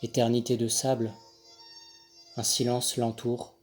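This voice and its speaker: Slow-paced man reciting poetry. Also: medium pitch, completely flat delivery. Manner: measured, deliberate phrasing with pauses. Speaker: poet reading own poem. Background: Handel music accompanies the reading.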